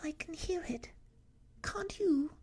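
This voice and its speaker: A timid little piglet from a storybook, squeaking extremely high. The voice swoops wildly, breathless and stammering with fright-prone nerves. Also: female voice, lively intonation, takes it slowly, heavily acted. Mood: anxious. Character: small panicky timid piglet, storybook animal.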